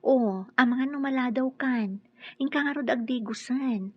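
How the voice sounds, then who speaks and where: A woman playing a devoted, dutiful young bride in an Ilocano radio drama, with an Ilocano accent and a breathy voice. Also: high voice, moderate pace, no echo, dull audio. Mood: affectionate.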